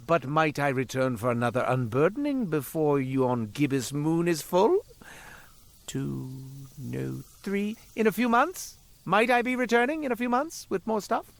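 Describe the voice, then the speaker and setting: Theatrical, swooping, self-pitying merchant. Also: male voice, a little high, creaky, a bit bright, exaggerated voice. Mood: grandly theatrical. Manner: wry, florid, melodramatic salesman patter. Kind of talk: scripted video game character monologue.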